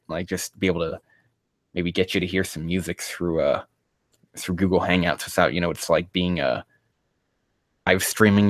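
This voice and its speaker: A man with a low voice hosts a casual live reading. He rambles and swears freely, sounding exasperated as he picks at the writing. The sound has some room echo. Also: fairly bright tone, studio quality. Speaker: host reading fan fiction aloud on a live stream.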